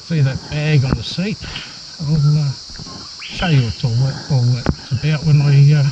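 A man's voice: on the high side, steady tone, a little slow, dull audio. A middle-aged Australian man chatting casually to a vlog audience in a laid-back way. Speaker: motorcycle vlogger. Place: outdoors, on motorbike, roadside and open shelter. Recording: noisy outdoor action camera with vehicle and insect sounds.

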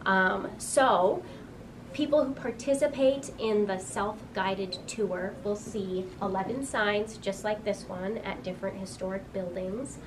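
A woman's voice: mid-range voice, unhurried. Earnest young woman, off-mic. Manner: earnest and informative, with frequent "um" fillers. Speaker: museum director. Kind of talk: informal on-camera explanation to a visitor. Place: small museum room indoors. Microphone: camera a few feet away. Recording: distant mic with clear room noise.

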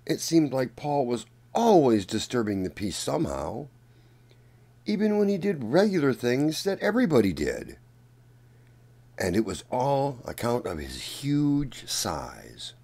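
A man reading a children's story aloud in a deliberate, expressive storytelling manner. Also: medium pitch, creaky. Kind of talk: read-aloud storybook narration.

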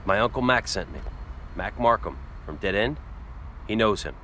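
An actor playing a tough, laconic American biker out for revenge, terse and self-assured, in a video game. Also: male voice, lowish voice, animated, moderate pace, sharp tone, a little hiss or hum. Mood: firm, earnest. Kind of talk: video game cutscene acting.

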